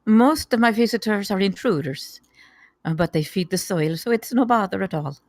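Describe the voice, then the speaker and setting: A woman's voice: mid-range voice, animated, moderate pace, darkish tone, dry recording, studio quality, close to an everyday voice. A woman at a live table read playing a playfully menacing nineteen-year-old poisoner. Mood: cool menace.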